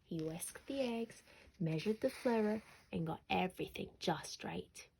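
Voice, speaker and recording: A woman storyteller narrating a children's bedtime story expressively, sometimes dropping to a hush. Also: fairly low pitch, animated, a little slow, creaky, slight background noise, rough recording.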